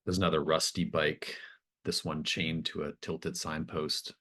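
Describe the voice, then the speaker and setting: A man's voice: rumbling low, little pitch movement, measured pace, sharp tone. A man narrating a tabletop game as game master, improvising scene descriptions in hesitant, trailing phrases.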